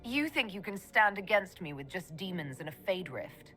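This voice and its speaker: A woman's voice: on the low side, big pitch swings, normal speed, pushed and bright, faint music behind. A woman playing a dry, defiant elf mage heroine in a fantasy game. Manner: dry, challenging. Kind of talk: fantasy game dialogue acting.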